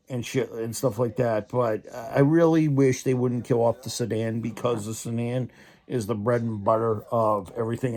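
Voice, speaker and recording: Young man, casual vlog rant, rambling, lots of restarts, mid pitch. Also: mellow. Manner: rambling, with many restarts and repeated "so". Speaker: vlogger.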